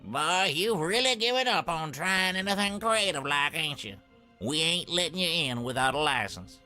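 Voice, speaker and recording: An actor playing a chatty, folksy backwoods thug in an animated adventure game, talking in homespun dialect. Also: male voice, on the high side, normal speed, soft background music. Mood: mocking. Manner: folksy, drawling dialect grammar.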